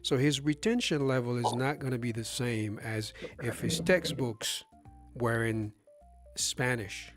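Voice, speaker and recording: A man with a middle-pitched voice hosts a casual live stream. He argues emphatically, leans on rhetorical questions and often stumbles over and restarts his words. Faint music plays softly behind him. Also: unhurried. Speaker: livestream host.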